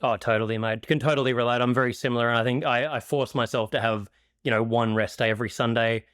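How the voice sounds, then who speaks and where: A man hosting a podcast, relaxed and agreeable, with many fillers. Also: medium pitch, fast talker, clear, resonant tone, dry recording, studio quality. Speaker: podcast host. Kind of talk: casual interview chat.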